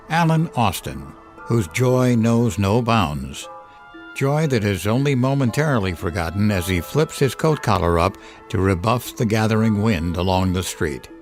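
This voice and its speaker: A man playing the brooding narrator of a dark audio drama, measured and theatrical. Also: on the low side.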